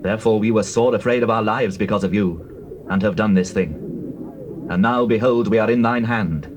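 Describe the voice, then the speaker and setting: An actor playing a fearful, submissive Gibeonite envoy, humbly declaiming in a dramatized scripture reading. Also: male voice, lowish voice, moderate pace, natural voice. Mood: humble, afraid. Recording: old cassette tape with faint noise.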